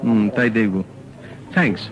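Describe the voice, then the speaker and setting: An actor playing a sharp young male private detective in a radio drama, asking brisk, probing questions. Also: fairly low pitch, lively intonation, crowd noise, natural voice. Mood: calm, probing. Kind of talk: 1970s radio drama acting. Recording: dull old radio broadcast.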